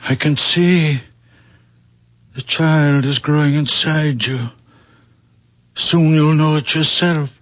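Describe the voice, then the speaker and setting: A very old man with second sight in a vintage radio drama. He is gentle, sorrowful and solemn, speaking slowly and haltingly. The sound is close and dry, a little dulled by the old recording. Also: fairly high pitch, no room sound, dull audio. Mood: prophetic, tender. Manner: halting, solemn, simple phrases. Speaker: actor. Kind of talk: 1970s radio drama acting.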